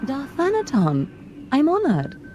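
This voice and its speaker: A woman playing a smooth, scheming Sith noblewoman in a video game, theatrical, honeyed and polite, with mock innocence. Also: high voice, huge pitch swings, slow pace, darkish tone, slight background noise, exaggerated voice. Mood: feigned innocence.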